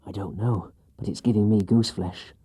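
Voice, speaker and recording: A man's voice: on the low side, steady tone, moderate pace, mellow, husky. A man voicing an uneasy, plain-speaking young boy in a children's storybook, wary and conversational.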